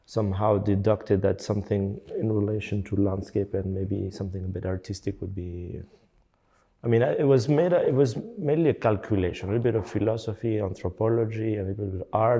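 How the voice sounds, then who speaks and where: Hesitant middle-aged man, dark voice. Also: on the low side. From Belgium. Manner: hesitant, many fillers and restarts. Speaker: architect and teacher. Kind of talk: reflective interview answer.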